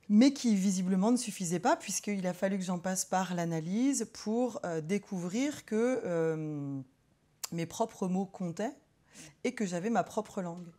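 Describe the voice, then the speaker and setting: A woman psychologist reflects in a personal interview, her voice mellow and a little low. She speaks hesitantly, filling her many pauses and restarts as she looks for the right words.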